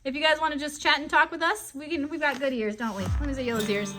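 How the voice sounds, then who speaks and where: A woman's voice: sits high, fairly even intonation, fast, over music, natural voice. A woman voicing a friendly, encouraging puppet host, chirpy and simple in wording, for a children's puppet show. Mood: friendly, upbeat. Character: friendly, encouraging puppet buddy hosting a kids' club.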